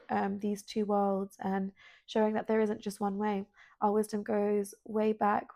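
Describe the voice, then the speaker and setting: Woman, native Spanish speaker, hesitant earnest manner, mellow tone, dry close sound, solo podcast monologue. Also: mid-range voice, gravelly, dry recording. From Colombian, born in London. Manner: soft, hesitant, many ums, earnest. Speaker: podcast host. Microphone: self-filmed at home.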